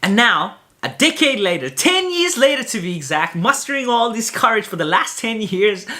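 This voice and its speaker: A young man with a noticeably high, bright voice chats straight to camera in a vlog. He rambles freely, circling back with fillers and restarts, open and candid about himself. The tone stays tense and sharp rather than mellow. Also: sing-song, moderate pace. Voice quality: high-pitched voice. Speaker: vlogger. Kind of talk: casual talk to camera.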